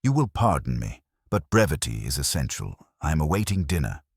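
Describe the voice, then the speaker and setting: An aloof, irritable great detective, done by a male narrator in a mystery audiobook. He speaks in terse, pompous, formal phrases, extremely deep and husky. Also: big pitch swings, a little fast, a little mellow, no room sound, studio quality, exaggerated voice. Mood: brusque, impatient.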